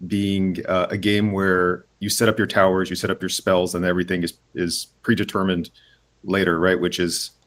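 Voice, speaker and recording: A low-voiced man, the head of a game studio, walks through his game in a relaxed interview. He stops and starts often, filling the gaps with "um" and "uh" as he gathers his thoughts. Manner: hesitant, many "um" and "uh" pauses and restarts. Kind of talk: casual interview, explaining a game.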